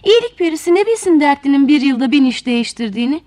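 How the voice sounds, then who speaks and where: A woman's voice: high-pitched, very fast, no room sound. A woman storyteller narrating a fairy tale expressively, speaking directly to children. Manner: animated, addresses child listeners directly.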